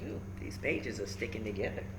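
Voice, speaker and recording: A woman reading a children's book aloud, emphatic and instructive, pausing between short phrases and adding encouraging asides. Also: a bass voice. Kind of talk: animated storybook read-aloud with encouraging asides. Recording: echoey room with faint background noise.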